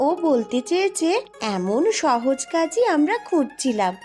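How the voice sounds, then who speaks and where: A woman's voice: sits high, big pitch swings, unhurried, mellow, faint music behind. A woman voicing a cautious, earnest young eldest sister, a princess, in a fairy-tale cartoon. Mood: placating. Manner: earnest, placating, admonishing.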